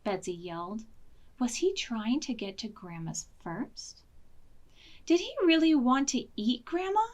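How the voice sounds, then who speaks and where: A woman's voice: on the high side, sing-song, a little slow. A woman reading a picture book aloud to preschoolers as a storytime reader, with dramatic emphasis. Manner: dramatic emphasis, questions to young listeners. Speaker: children's library storytime reader.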